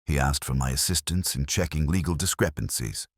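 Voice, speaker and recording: A man's voice: rumbling low, fairly quick, husky, dry recording, clean studio sound, full character voice. A man voicing a bland, evasive retired solicitor in a detective story, formal, fussy and resigned. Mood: evasive explaining.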